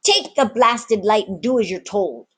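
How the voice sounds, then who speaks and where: Storybook pirate chief mate, male played by woman: scheming, bossy, rough-tongued sailor, raised pitch. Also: expressive, a little slow, fairly bright tone. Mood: irritated, bossy. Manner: rough sailor slang, bullying.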